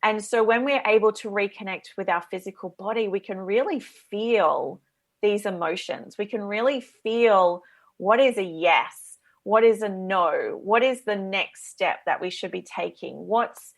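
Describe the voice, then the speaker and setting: A woman's voice: medium pitch, a little slow. Earnest Australian woman explaining eagerly. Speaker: guest, women's coach. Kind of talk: radio interview talk.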